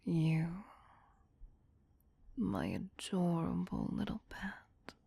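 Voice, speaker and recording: A woman playing a doting, possessive vampire queen in an ASMR roleplay, whispering softly and soothingly in an intimate, coaxing manner. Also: fairly low pitch, big pitch swings, drawn-out words, exaggerated voice. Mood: teasing, praising. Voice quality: breathy, soft whisper.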